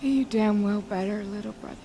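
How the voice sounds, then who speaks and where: An actress playing a tough, blunt warrior big sister in a video game, speaking weakly and haltingly as she dies. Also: medium pitch, music in the background, lightly acted. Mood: gruff affection. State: weak, coughing, labored breath.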